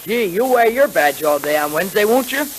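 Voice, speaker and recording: A man's voice: high-pitched, animated, a little fast, low-quality recording. An actor playing an earnest, law-abiding boy sidekick in a 1930s radio adventure, speaking emphatically. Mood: eager, chirpy. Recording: hissy 1930s radio recording.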